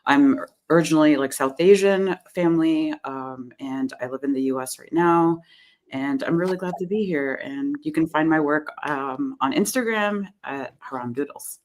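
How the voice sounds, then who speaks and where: A woman's voice: low voice, moderate pace, slight background noise. A woman co-hosting an online talk, speaking in a laid-back way over a video call. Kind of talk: casual conversation.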